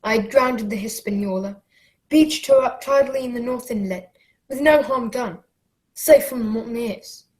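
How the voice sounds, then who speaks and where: Plucky boy hero and storyteller for radio play, played by a woman: earnest, high-pitched. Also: moderate pace, a little mellow, natural voice, poor audio. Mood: earnest storytelling. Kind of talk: radio play narration and acting.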